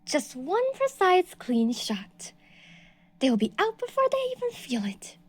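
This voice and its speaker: A woman voicing a delusional, possessive young woman stalker in an audio roleplay, moving between soft breathy whispers and shrill outbursts. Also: huge pitch swings, unhurried, exaggerated voice. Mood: coldly threatening.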